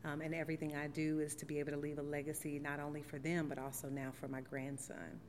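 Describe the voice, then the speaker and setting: A woman in her forties with a notably low voice speaks in a steady, reflective way, often pausing on an um. She is from St. Louis and is telling her life story in an oral history interview. Her voice carries a long reverb from a theater hall, with faint noise underneath. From St. Louis, Missouri, USA. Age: 40s. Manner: reflective, steady, with frequent ums. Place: theater venue.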